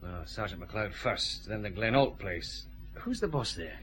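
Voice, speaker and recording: An actor playing an authoritative detective chief inspector in a radio drama, with a clipped, commanding delivery. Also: male voice, on the low side, lively intonation, measured pace, fairly bright tone. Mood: brisk. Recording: clear background noise, ambience.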